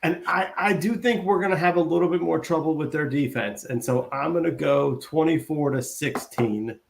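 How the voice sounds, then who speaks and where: A man's voice: fairly high pitch, moderate pace, creaky, slight background noise. A man co-hosting a casual football podcast, laid-back and hesitant, thinking aloud. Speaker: podcast co-host. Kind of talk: casual sports podcast chat.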